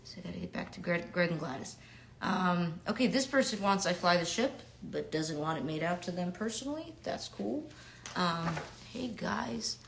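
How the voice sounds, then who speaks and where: A slightly low-voiced woman from New York in her thirties talks casually to fans while signing autographs. She is chatty and upbeat, trailing into quiet murmurs as she writes. She speaks into a webcam mic indoors at home. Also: animated, normal speed, gravelly, slight background noise. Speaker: actor signing autographs on a livestream.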